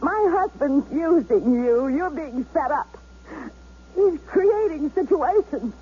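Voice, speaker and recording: An actress playing a policeman's battered, desperate wife held hostage, in intense 1970s radio drama style with emphatic pauses. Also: high-pitched, lively intonation. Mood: anguished. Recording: dull, faintly noisy 1970s radio recording.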